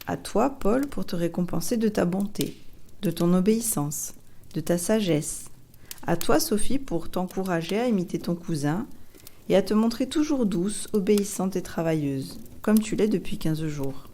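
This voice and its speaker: A woman's voice: fairly low pitch, lively intonation, dark tone, slight background noise. Woman voicing a kind, composed storybook mother. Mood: benevolent. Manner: measured, reassuring.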